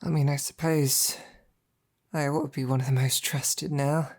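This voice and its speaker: A woman's voice: low-pitched, steady tone. A woman playing a devoted, tender female knight in an intimate audio roleplay, speaking to her princess in a hesitant, earnest manner. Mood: gently teasing. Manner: hesitant, halting, softly earnest. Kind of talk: intimate scripted roleplay monologue to the listener.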